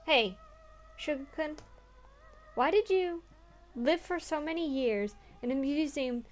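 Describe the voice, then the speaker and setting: A woman's voice: high voice, slow pace. A young woman playing through a story game, reading the text aloud earnestly and breaking off into crude, swearing jokes.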